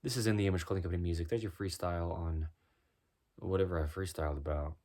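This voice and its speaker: Man, deep low voice, rhythmic rhyming freestyle, preachy musing delivery. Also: steady tone, quick pace, darkish tone. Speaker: freestyle musician. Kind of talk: spoken-word freestyle over guitar.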